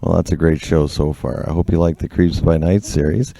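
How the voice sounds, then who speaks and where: Deep, very mellow, gravelly radio host. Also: male voice, fairly even intonation, a little fast. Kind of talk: relaxed radio presenting.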